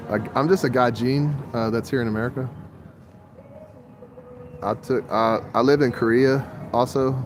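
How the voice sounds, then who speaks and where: A man in his forties talking in a rambling monologue that jumps from topic to topic, with frequent swearing. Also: mid-range voice. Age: 40s. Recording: outdoor traffic noise.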